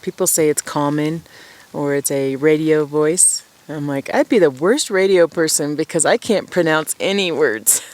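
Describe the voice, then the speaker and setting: A woman with a low voice, chatting casually to camera outdoors at her forest camp through a camera-mounted mic, rambling and self-deprecating. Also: moderate pace, a little mellow. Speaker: vlogger living at a wilderness camp.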